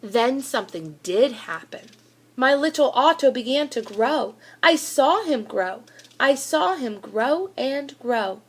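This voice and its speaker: A woman with a high voice reads a children's storybook aloud. She takes her time, with a deliberate, animated storytelling delivery that puts expressive emphasis on the words. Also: slightly rough. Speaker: school reader of children's books. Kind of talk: expressive children's picture book read-aloud.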